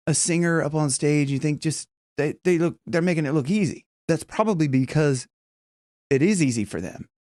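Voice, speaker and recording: A man's voice: fairly high pitch, darkish tone, no echo, studio quality. A man teaching singing in a casual, chatty video lesson, talking emphatically and breaking off into short vocal demos.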